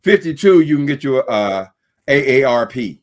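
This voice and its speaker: A man's voice: mid-range voice, sing-song, normal speed. A man in his sixties hosting a casual panel chat, blunt and teasing with wisecracks. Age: 60s.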